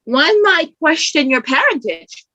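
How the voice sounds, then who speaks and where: A woman playing a menacing substitute teacher who is secretly a monster, speaking in a deliberate, accusatory way in a theatrical table read. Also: high-pitched, big pitch swings, pushed and bright, no room sound, dull audio, heavily acted. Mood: taunting accusation.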